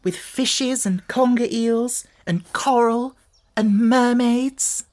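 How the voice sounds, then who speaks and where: A man's voice: very high voice, slow, darkish tone, pitched above the performer's own voice. A man voicing an anxious, imaginative little girl in a storybook reading. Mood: dreamy, wondering. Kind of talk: audiobook character voice.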